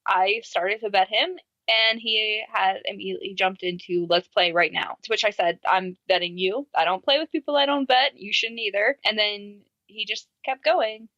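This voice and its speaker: A woman at a mid-range pitch co-hosts a casual podcast, animated and quick to act out what people said. Her mic is dry and a little dull in tone. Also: no room sound. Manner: animated, acts out quoted messages, laughs easily. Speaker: podcast co-host.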